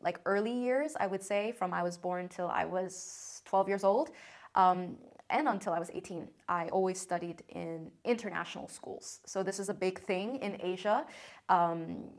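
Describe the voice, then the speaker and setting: A woman's voice: on the low side, moderate pace. A woman in her twenties with an American accent, talking casually to camera in a chatty, upbeat way with frequent "like" and "um" fillers. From China. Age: 20s. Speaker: content creator. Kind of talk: casual vlog talk to camera.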